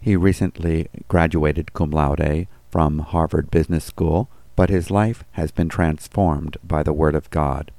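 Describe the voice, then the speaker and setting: A man's voice: a bass voice, steady tone, unhurried, hardly any pauses, dark tone, dry recording. A man reading scripted devotional commentary and scripture as a pastor.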